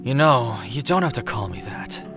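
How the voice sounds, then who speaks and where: Man playing a reserved, gentle young god. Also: mid-range voice, animated, moderate pace, over music, natural voice. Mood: affectionate. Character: reserved, gentle male death god, quietly protective. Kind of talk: audio drama character acting.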